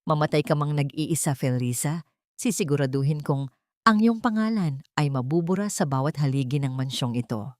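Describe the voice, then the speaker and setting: A woman plays a domineering daughter-in-law from an audio drama. She is cold and sanctimonious, giving orders like sermons. The voice sits fairly low and the recording is very dry. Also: expressive, normal speed, a little mellow, no room sound. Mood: menacing. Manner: preachy, commanding. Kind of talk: audio story character dialogue.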